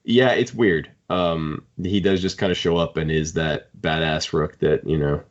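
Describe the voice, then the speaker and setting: Man, very low voice, rambling podcast chat, slightly muffled recording. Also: fairly even intonation, a little slow. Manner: rambling, conversational. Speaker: podcast co-host. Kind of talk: casual fan discussion.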